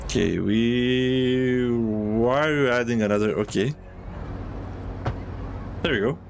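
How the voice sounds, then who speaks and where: A man's voice: medium pitch, slow, vocal fry, slight background noise. A man from Serbia, chatting casually while streaming a city-building game and thinking aloud with restarts. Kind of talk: relaxed game livestream chat. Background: soft music.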